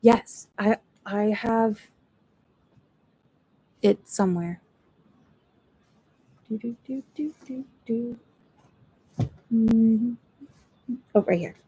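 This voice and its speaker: A woman's voice: fairly high pitch, very slow, pauses often, warm tone, slightly rough, rough recording. A woman who is not a native English speaker, chatting casually and thinking aloud during a live reading session at home. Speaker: book streamer. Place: at home, seated in a chair.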